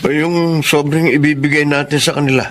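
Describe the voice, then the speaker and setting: An actor playing a loyal, protective middle-aged uncle, measured and firm, in a Filipino radio drama. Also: male voice, fairly high pitch, fairly even intonation, a bit bright, a little hiss or hum, natural voice. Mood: sincere, grateful.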